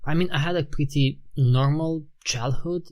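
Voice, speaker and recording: A man's voice: fairly high pitch, moderate pace, a little mellow. A man in his thirties from Romania with a Romanian accent, a guest in a casual interview who talks thoughtfully, often restarting phrases and saying "you know" and "like". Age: 30s.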